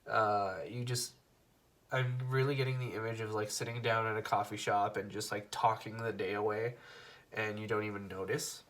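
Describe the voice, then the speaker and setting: A young man with a cold, giving a relaxed tarot reading straight to his viewers, with plenty of fillers. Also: mid-range voice. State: fighting off a cold. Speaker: tarot reader. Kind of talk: casual tarot reading to camera.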